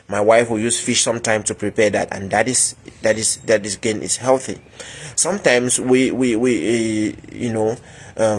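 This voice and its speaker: Halting man with husky voice. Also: lowish voice. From Cameroon. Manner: hesitant, many repeated ands and fillers. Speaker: medical instructor. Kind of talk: educational health talk.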